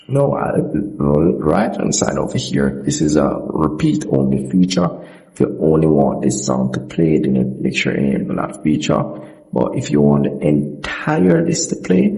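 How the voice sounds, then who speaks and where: A man hosts an informal how-to narration for an app. His voice is dark and relaxed with a gravelly fry at the edges. He explains things step by step, repeating himself, and his pitch moves around in a lively way. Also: poor audio. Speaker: host of app tutorial. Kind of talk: informal screen-recorded tutorial narration.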